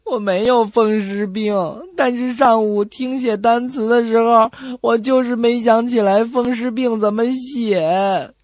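A woman voicing a tearful, whining little schoolboy in a comedy radio sketch, with drawn-out wails. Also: a little high, fairly even intonation, darkish tone, no echo, studio quality, natural voice. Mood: whining protest. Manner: wailing, drawn-out.

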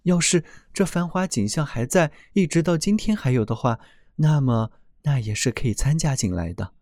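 A man voicing an ancient male oak tree in a storybook tale, speaking in a lowered, slow and wondering voice. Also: animated, darkish tone, no echo, studio quality. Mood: wistful.

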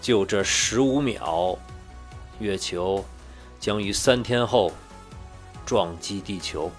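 A man playing a despairing, bitter male scientist in a radio drama, grave and resigned. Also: fairly low pitch.

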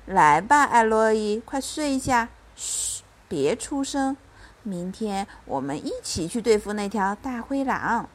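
A woman's voice: mid-range voice, lively intonation, a little slow, few pauses. Lady doing a picture book mom, caring but fierce protector, big swoopy voice. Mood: tender, soothing. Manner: soft coaxing that turns to bold commanding. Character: young mother, tender with her child, fiercely protective.

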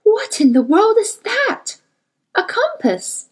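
A woman's voice: very high-pitched, big pitch swings, slow, voice pushed up, heavily acted. A woman reading aloud, voicing a tired, exasperated woman scientist who talks in a clipped, skeptical way. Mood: astonished.

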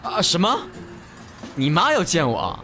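A man's voice: high-pitched, huge pitch swings, a little slow, bright, forceful tone, heavily acted. A man acting a lazy, exasperated teenage gamer in an amateur audio drama, grumbling and put-upon.

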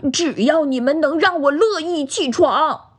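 A woman's voice: sits high, big pitch swings, slightly rough, pitched above the performer's own voice, full character voice. Woman playing a drowsy, grumpy little bear cub. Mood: grumpy, annoyed. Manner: drawn-out, mumbling, sulky. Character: sleepy, grumpy, stubborn young male bear cub.

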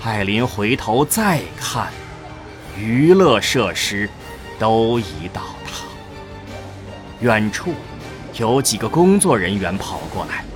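A man's voice: on the low side, sing-song, slow pace, pauses often, over music. A man narrating an audio drama from a script in a dramatic storytelling style.

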